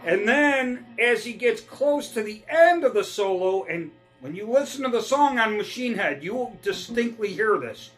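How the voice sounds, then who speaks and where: A middle-aged man giving a relaxed, casual guitar lesson, often checking in with "all right?" and "okay?". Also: high voice, normal speed, few pauses. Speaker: guitar teacher. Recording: faint guitar playing between phrases.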